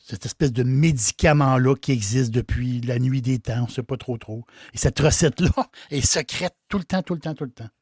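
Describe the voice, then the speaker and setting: Québécois male innkeeper, mid-pitched, casual folksy delivery, dry recording. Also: moderate pace, a little mellow, husky, no room sound. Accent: Québécois French. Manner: folksy, repeats words and phrases. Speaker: innkeeper host. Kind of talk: casual talk about a liqueur.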